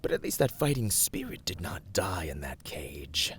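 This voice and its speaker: A man playing a smug young villain warlord in an ASMR roleplay, teasing and condescending in formal, deliberate phrases. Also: low voice, very animated, a little hiss or hum. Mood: teasing, menacing. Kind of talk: ASMR roleplay, scripted dramatic monologue.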